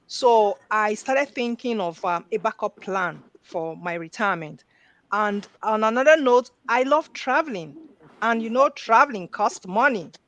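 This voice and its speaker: A woman's voice: mid-range voice, animated, measured pace, a bit bright. A middle-aged woman guest earnestly telling her own story in an interview.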